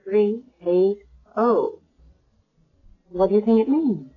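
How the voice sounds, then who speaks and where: An actress playing a caring, wry woman hospital doctor in a 1970s radio drama, with a brisk, reassuring manner. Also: medium pitch, breathy edge. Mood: calm concern. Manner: brisk, reassuring professional questioning. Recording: dull lo-fi old radio broadcast.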